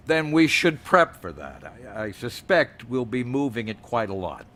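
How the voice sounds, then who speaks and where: A man playing a weary, formal director of a secret base in a science fiction audio drama, with a measured, resigned manner. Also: mid-range voice, very animated, a bit bright, slight background noise. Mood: dry, weary.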